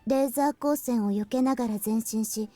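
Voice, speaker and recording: An earnest, clever teenage girl from a game, voiced by a woman, laying out her reasoning calmly and step by step. The voice is high and quick but has a warm, mellow color. Quiet music plays underneath. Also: dry recording, close to an everyday voice. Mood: explaining. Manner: calm and explanatory. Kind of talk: Japanese game voice acting.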